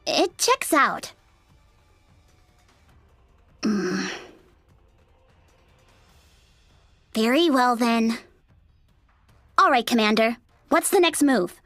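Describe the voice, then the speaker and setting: A woman playing a brash, cheeky young female android soldier in a video game, sceptical but easygoing, with a sassy, expressive delivery. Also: on the high side, takes it slowly, long pauses, no room sound, soft background music. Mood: game for action. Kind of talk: English video game voice acting.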